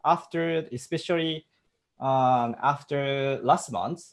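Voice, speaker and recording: Halting Japanese-accented man, casual chat. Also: mid-range voice. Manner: halting, frequent fillers, laughs easily. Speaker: co-host, patent professional. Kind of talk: casual chat in a second language.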